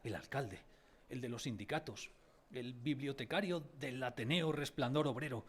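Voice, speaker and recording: An actor playing a grave narrator who recalls a village childhood in solemn, measured, literary radio-drama narration. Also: male voice, medium pitch, breathy edge, lightly acted. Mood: grave, sombre. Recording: faint music behind. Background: faint music.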